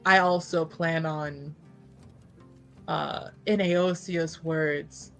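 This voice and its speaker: Woman playing a composed, scheming fantasy noblewoman, slow-spoken. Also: sits low, fairly even intonation, soft background music, exaggerated voice. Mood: cool, resolved.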